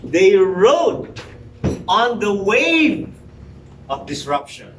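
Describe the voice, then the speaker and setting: A man's voice: high-pitched, sing-song, drawn-out words, fairly bright tone. A man in his forties giving a prepared speech to a live audience, emphatic and rhetorical, talking straight to the crowd. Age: 40s. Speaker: public speaker. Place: meeting room with audience. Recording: roomy hall, mic at a distance, noisy.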